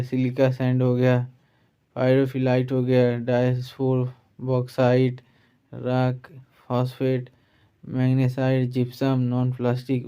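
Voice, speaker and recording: A man's voice: medium pitch, warm tone, clear, resonant tone, lo-fi sound. A man teaching an online exam-prep lesson, reading out facts point by point with a repeated tag after listed items. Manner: repetitive verbal tag after each listed item.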